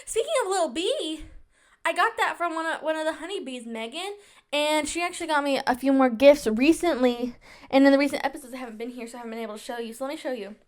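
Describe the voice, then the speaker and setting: A woman's voice: sits high, quick pace. A young woman hosting her podcast in casual chat, bubbly and chatty, often saying "like," and a little under the weather with allergies. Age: young adult. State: slightly sick with allergies. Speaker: podcast host.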